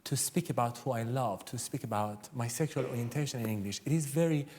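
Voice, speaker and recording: A man with a Persian accent, a native Persian speaker, talking candidly and a little haltingly as a debate panellist. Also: mid-range voice, a little mellow, slight background noise. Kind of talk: personal reflection in a live debate. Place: theatre stage, live panel debate.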